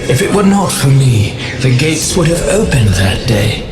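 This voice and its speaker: Man doing a pompous bitter game rival, grave formal speech, big swoopy delivery, loud music. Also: medium pitch, big pitch swings, moderate pace, close to an everyday voice. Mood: indignant. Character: haughty, embittered male rival of grand bearing. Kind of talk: video game cutscene acting.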